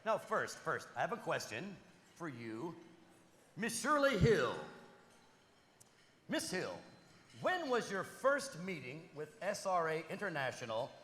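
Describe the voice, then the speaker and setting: A man with a fairly high, very animated voice, emceeing a conference lunch with upbeat, playful patter. He speaks in a large banquet hall, so his voice rings with long, roomy reverb. Faint noise sits under it. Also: unhurried, long pauses. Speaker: event host.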